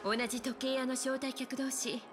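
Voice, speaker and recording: A woman's voice: sits high, steady tone, moderate pace, background noise, lightly acted. A woman playing a poised, confident, diplomatic lady in a Japanese video game, with politely feminine phrasing.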